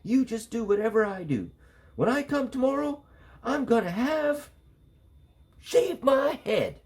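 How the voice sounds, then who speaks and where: A man voicing a stubborn, defiant young schoolgirl from a storybook, emphatic and loud. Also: extremely high pitch, sing-song, unhurried, slightly rough, voice pushed up, heavily acted. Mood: exasperated.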